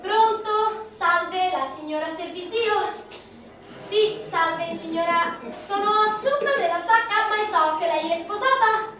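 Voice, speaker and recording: Actress playing a high-voiced, flustered young saleswoman in echoey room. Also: slow pace, sharp tone, slight background noise, exaggerated voice. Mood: chirpy, pushy. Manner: chirpy sales patter with muttered asides. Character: eager, flustered young woman telemarketer, precarious aspiring actress. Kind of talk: theatrical radio comedy monologue. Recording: echoey room, distant mic, music behind.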